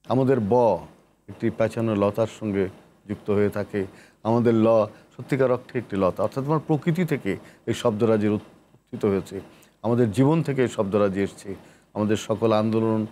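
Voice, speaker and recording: A man from Bangladesh hosting a literary interview show, speaking in a measured, poetic, declamatory way. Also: fairly low pitch, dark tone, a little hiss or hum. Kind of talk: poetic scripted introduction and interview.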